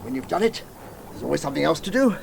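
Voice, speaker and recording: An actor playing a jovial, dreamy, gentlemanly water rat who relishes every word in a radio play. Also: male voice, on the high side, sing-song, fast, vocal fry, heavily acted. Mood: dreamy, enthusiastic. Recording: noisy old radio broadcast tape.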